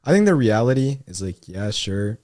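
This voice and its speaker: A man talking casually on a podcast, reflective and thoughtful, often filling pauses with "like". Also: fairly low pitch, big pitch swings, darkish tone, dry recording, clean studio sound. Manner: thoughtful, reflective, frequent "like" fillers.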